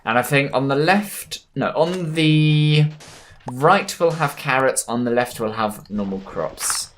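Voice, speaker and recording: Slow-talking hoarse man. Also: mid-range voice, lively intonation, fairly bright tone, a little hiss or hum. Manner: plans aloud, easygoing and punny. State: hoarse from a cold. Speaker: gameplay commentator. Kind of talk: let's play commentary.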